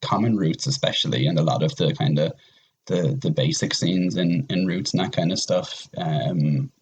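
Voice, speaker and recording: A man's voice: deep voice, moderate pace, a little hiss or hum. A man speaking as a podcast guest in a casual discussion of games, rambling and often restarting his phrases. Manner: hesitant, rambling, repeats phrase starts.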